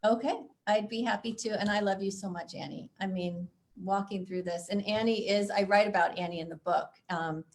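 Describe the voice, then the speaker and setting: A woman's voice: medium pitch, fairly even intonation, fairly quick, few pauses. A middle-aged woman from Chicago, an author being interviewed, chatting with frequent self-deprecating laughs and reading from her book. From Chicago, USA. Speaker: author guest.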